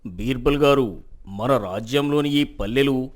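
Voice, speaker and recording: An actor playing a calm, courteous Mughal emperor with formal, measured speech in an animated moral story. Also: male voice, medium pitch, lightly acted. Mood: pleasant, curious. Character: stately male Mughal emperor, calm and curious.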